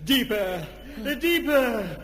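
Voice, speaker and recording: A self-important, spluttering family dad from an old radio comedy. His voice is high and bright, with hesitations and puffed-up authority, in a very echoey recording. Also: very animated, slow pace, exaggerated voice. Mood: grandly commanding. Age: middle-aged. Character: bumbling, self-important family dad dreaming he's a stage hypnotist.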